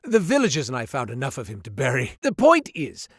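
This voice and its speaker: A man's voice: medium pitch, wildly swooping pitch, a little fast, fairly bright tone, slightly rough, no echo, studio quality, exaggerated voice. A man voicing a sly, chatty male merchant who rambles through shady stories in a theatrical, self-serving way. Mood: sly rambling. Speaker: merchant character. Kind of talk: game character voice lines.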